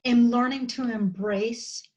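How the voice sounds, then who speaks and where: Woman, about fifty, American accent, slightly high pitch, earnest, halting. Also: steady tone, darkish tone. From southern United States. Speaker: podcast guest. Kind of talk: reflective interview conversation.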